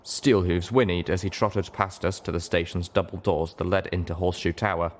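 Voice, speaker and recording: A man's voice: deep voice, fairly even intonation, brisk. A man reading fiction aloud as scripted audiobook narration. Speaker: reader, narrator.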